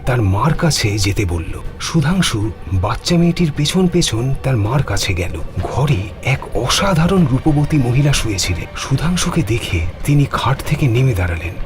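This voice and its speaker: A man's voice: mid-range voice, very animated, moderate pace. A man narrating a scripted horror story in a dramatic, suspenseful manner. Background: music.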